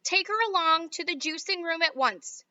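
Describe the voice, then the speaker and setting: A woman's voice: very high voice, moderate pace, bright, forceful tone, no echo, muffled recording, voice pushed up, heavily acted. A woman doing a sighing eccentric inventor. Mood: brisk. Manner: sighing, mild and matter-of-fact.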